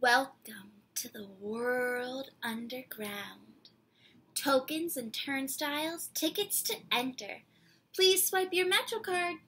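A woman in her twenties reading a picture book aloud to children, with playful, deliberate, dramatic phrasing, recorded at home in a small room. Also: high voice, a bit bright, husky. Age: 20s. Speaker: children's read-aloud presenter.

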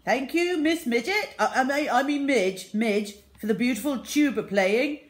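A woman playing a flustered, concerned storybook headmaster who announces politely and haltingly. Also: high-pitched, lively intonation, voice pushed up. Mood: awkwardly polite. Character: flustered, concerned male school headmaster hosting a talent show.

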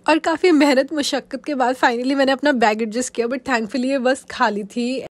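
A young woman narrates her journey afterwards in a calm, slightly high voice, unhurried and reflective. Soft music plays under the narration. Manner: relaxed, reflective storytelling. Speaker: travel vlogger. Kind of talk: voice-over narration.

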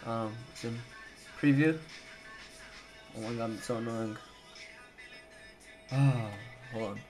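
A man's voice: mid-range voice, fairly even intonation, slow pace, over music. A young adult man giving a casual, hesitant tutorial, thinking aloud as he goes. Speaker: tutorial presenter. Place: indoors at a computer. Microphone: handheld camera mic. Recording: noisy handheld camera recording.

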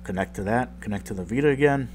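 A man with a deep, just-woken-up voice walking through a tech tutorial in a rambling, self-deprecating way, with lots of ums. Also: quick pace, darkish tone, a little hiss or hum. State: just woke up, deep morning voice. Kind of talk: casual screen-recorded tutorial voice-over.

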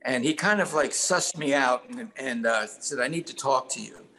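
A man's voice: medium pitch, moderate pace, sharp tone, rough recording. An older man telling stories casually as a songwriter guest in an interview over a video call. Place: indoor room at home.

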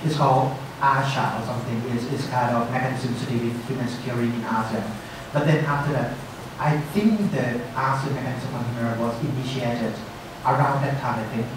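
A man's voice: medium pitch, a little fast. Hesitant male lecturer in an echoey hall. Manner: hesitant, searching for words, many restarts. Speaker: guest lecturer. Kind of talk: answering audience questions. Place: large conference room, at front podium. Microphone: far camera. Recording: echoey hall heard from far off, noisy.

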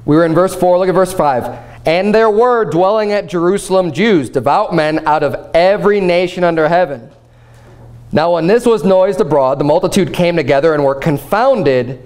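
Man in his thirties, pastor, lively conversational sermon, fairly high voice, church platform. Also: normal speed. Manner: animated, gesturing, conversational preaching. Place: church platform before a painted backdrop.

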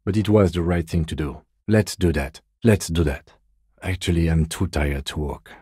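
An actor playing a composed, weary police detective in a video game, with dry, deadpan, measured delivery. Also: male voice, low-pitched, slightly rough, no echo. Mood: weary, matter-of-fact.

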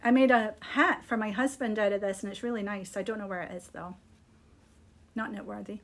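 A woman's voice: mid-range voice, fairly even intonation, brisk. A middle-aged woman chats casually and unscripted as she presents products on a live shop stream. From Scotland. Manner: chatty, unscripted, appreciative. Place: small indoor room. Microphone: phone at arm's length.

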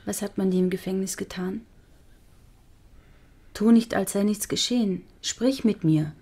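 An actress playing a wounded, pleading woman detective in a crime radio drama, narrating and speaking in a measured, hushed way. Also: mid-range voice, slightly rough, no echo. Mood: gentle pleading.

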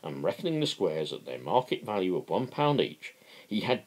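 A middle-aged man giving a theatrical dramatic reading of a novel, stumbling over words here and there, with a gravelly, husky voice. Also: fairly low pitch, sing-song, normal speed, a little mellow. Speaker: amateur reader. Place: living room at home.

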